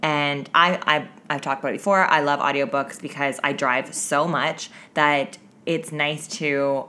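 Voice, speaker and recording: A woman's voice: deep voice, fairly even intonation, a little slow, bright, forceful tone, slight background noise. A woman co-hosting a podcast in casual chat, with a teasing, playful way of talking. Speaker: podcast co-host.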